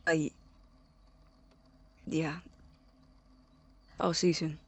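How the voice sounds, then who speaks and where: An actor voices an awkward, apologetic young man in an audio drama. The voice is breathy and husky. He talks slowly and hesitantly, as if easily flustered. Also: mid-range voice, little pitch movement, a bit bright, exaggerated voice. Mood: subdued. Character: awkward, apologetic young college-bound man named Victor.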